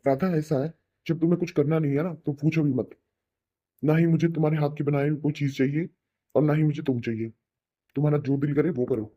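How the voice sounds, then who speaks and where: A man's voice: on the high side, steady tone, fairly quick, natural voice. A man voicing a cold, sarcastic, irritated young husband in a melodramatic cartoon, on a dull recording. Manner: reproachful, sarcastic. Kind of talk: melodramatic Hindi cartoon voice acting.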